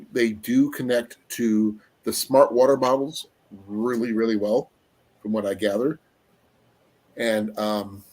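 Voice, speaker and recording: A man hosting a casual live-stream chat, his voice going a little raspy, rambling along with fillers as he looks things up. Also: mid-range voice, a little mellow. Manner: rambling, trails off with fillers while looking things up. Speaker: livestream host.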